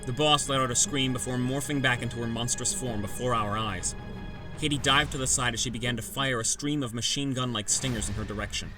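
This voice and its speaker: A man's voice: mid-range voice, steady tone, brisk. A man giving dramatic scripted first-person narration for a fantasy audiobook. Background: background music.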